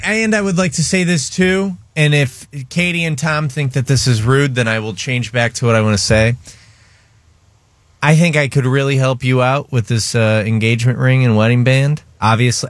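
Excitable male American radio host. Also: medium pitch, normal speed, no echo. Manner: excitable, joking, interjecting. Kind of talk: casual radio banter.

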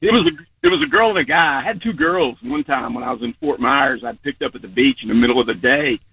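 Raspy male caller on a tinny phone line. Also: mid-range voice, sing-song, quick pace, no room sound, distant mic. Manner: amused, chatty storyteller. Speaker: caller, rideshare driver. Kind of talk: phone-in call.

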